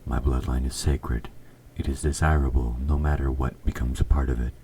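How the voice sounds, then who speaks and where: A man playing an evil, possessive king in an audio roleplay, speaking formally and with measured, quiet menace, his S sounds sharp. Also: very deep voice, little pitch movement, slight background noise. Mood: possessive, persuasive. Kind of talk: scripted audio roleplay, intimate dramatic monologue.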